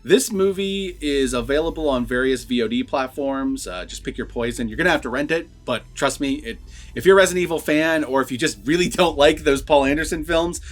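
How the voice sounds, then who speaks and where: A man's voice: on the high side, a little fast, faint music behind. A man hosting a casual movie review vlog, talking in a rambling, conversational, lightly profane way.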